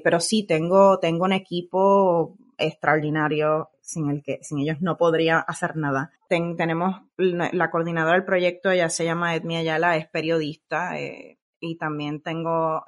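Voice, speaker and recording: A Puerto Rican woman with a Puerto Rican Spanish accent, a science communicator speaking as an interview guest, thoughtful and often pausing with fillers and restarts. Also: low voice. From Puerto Rico. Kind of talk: casual interview conversation.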